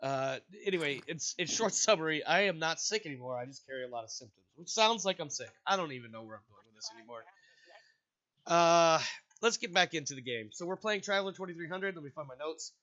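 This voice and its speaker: A man's voice: a little high, expressive, quick pace, pushed and bright. Stuffy-nosed man running a tabletop game. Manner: rambling, casual, with frequent restarts. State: stuffy and congested. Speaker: game master. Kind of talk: hosting and narrating a tabletop game. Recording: dull, lo-fi voice chat audio.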